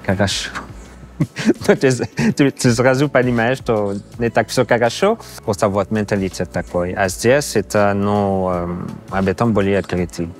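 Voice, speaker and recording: A man in his thirties from Canada with a Canadian accent, speaking a learned second language with pauses and fillers in an interview. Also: on the low side, faint music behind. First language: not Russian. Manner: halting, searching for words with many fillers. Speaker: interview guest. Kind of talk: casual interview answers. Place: exhibition hall indoors. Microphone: lapel.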